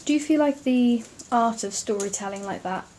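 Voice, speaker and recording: Young woman interviewer, mellow voice. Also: on the high side, normal speed, slight background noise. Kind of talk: casual family interview.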